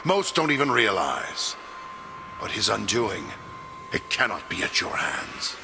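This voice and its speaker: Grandiose, menacing zealot cult leader. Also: male voice, on the low side, sing-song, unhurried, vocal fry, noisy background, full character voice. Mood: solemn menace. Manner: grandiose, solemn, ominous declarations. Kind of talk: scripted video game cutscene acting. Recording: dramatic music behind.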